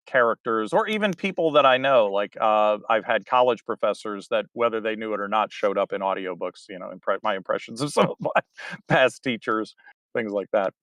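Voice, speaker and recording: A man's voice: on the low side, a little fast, fairly bright tone, no echo. Chatty man with a dry, close sound. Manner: rambling, hesitant with many restarts. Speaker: guest, audiobook narrator. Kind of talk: casual interview chat.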